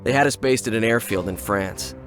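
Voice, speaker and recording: A man voicing a war-worn American paratrooper, recalling the fighting in a plainspoken, wry voice, narrating a game cutscene. His voice sits low, with loud music under it. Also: brisk, lightly acted. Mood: reflective. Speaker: soldier narrator.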